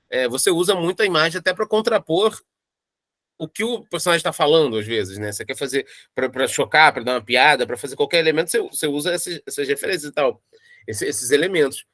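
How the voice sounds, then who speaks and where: A Brazilian man with a fairly high, bright and forceful voice, recorded dry and close. He explains in an unscripted, interview style, restarting and repeating words to drive his thought along. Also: no echo. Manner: halting, repetitive, emphatic explaining. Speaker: audio drama writer.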